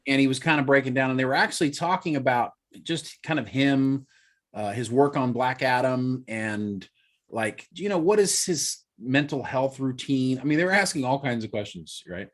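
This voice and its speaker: A man's voice: mid-range voice, lively intonation, moderate pace. A man hosting a casual podcast, explaining things chattily and hedging with fillers. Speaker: podcast host. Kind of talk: casual chat.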